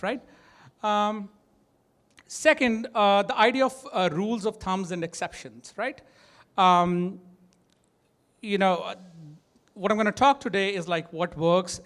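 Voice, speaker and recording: High-voiced Indian man presenting on stage. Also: fairly bright tone. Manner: hesitant, many fillers, frequent "right?" tags. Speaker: startup co-founder giving a conference talk. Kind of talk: live conference presentation. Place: conference stage before an audience.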